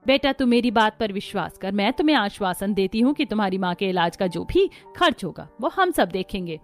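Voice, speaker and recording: A woman's voice: mid-range voice, expressive, brisk, lightly acted. A woman playing a kindly middle-aged mother in a moral story, reassuring and persuasive. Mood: pleased, reassuring. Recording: music under the voice.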